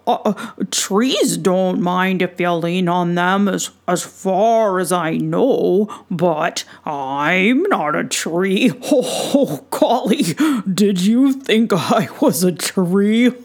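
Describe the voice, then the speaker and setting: A woman voicing a young male creature who looks like a tree, laughing often and speaking loudly and slowly with stammers. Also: big pitch swings, gravelly, heavily acted. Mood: amused. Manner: booming, laughing, slow and stammering.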